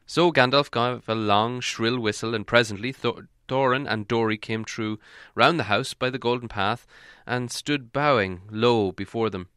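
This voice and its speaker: A man's voice: mid-range voice, normal speed, a bit bright, clear, resonant tone, no room sound, studio quality. A man reading a storybook aloud as a narrator, in scripted audiobook style.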